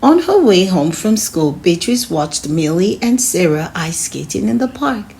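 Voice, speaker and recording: A woman reading a children's story aloud in an expressive way, speaking to her young listeners as a host. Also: lowish voice, a little slow, vocal fry. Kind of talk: storybook read-aloud narration.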